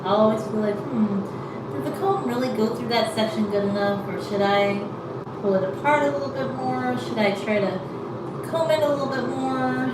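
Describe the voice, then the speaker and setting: A woman's voice: fairly high pitch, moderate pace, echoey room, distant mic, heavy background noise. A woman chatting casually in a rambling way with many fillers while she combs out her hair. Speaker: vlogger.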